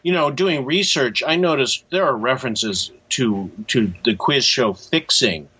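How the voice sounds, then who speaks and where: A man being interviewed as an author, explaining things conversationally in a thoughtful, measured, somewhat hesitant way. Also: medium pitch, bright, forceful tone, husky, highs cut off.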